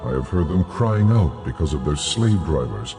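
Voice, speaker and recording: Divine figure, very low pitch, mellow dark tone, solemn, authoritative, measured, scripture drama. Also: male voice, sing-song, soft background music, heavily acted. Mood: grave, majestic.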